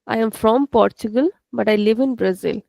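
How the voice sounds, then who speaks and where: A woman's voice: a little high, moderate pace, creaky, mellow, dry recording. A woman with an Indian English accent teaching an online language class, explaining patiently and repeating each point step by step.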